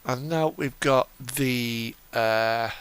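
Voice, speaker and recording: A man's voice: medium pitch, drawn-out words, a bit bright, clear, resonant tone. A man giving casual live commentary as he streams a game, chatting and thinking aloud.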